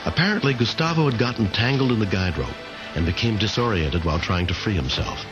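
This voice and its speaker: A man's voice: on the low side, expressive, quick pace. A man narrating a television documentary from a script. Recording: muffled 1990s TV tape. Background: loud dramatic music.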